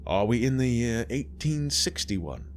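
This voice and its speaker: A man voicing an aging, haughty gentleman who asks fussy, formal questions in a condescending way. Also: medium pitch, big pitch swings, measured pace, a little hiss or hum, voice pushed up. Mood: prim.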